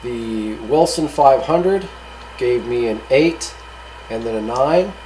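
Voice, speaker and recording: Guy doing a casual hobby review, normal pitch, kinda rambly n matter of fact, some background noise. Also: expressive, takes it slowly, a little mellow, poor audio. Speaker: hobbyist video host reviewing radio gear. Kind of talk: casual unscripted product test rundown.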